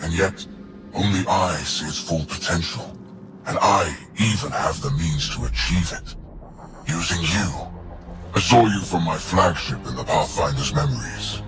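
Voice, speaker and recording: An actor playing a menacing, grandiose alien tyrant in a video game, with a gravelly, slow and pompous delivery. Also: male voice, low-pitched. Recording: loud music behind the voice.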